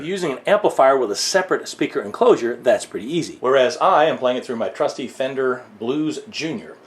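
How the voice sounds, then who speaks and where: A man giving a casual hands-on music gear tutorial in a conversational, lightly wry way. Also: mid-range voice. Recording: echoey room, faint background noise.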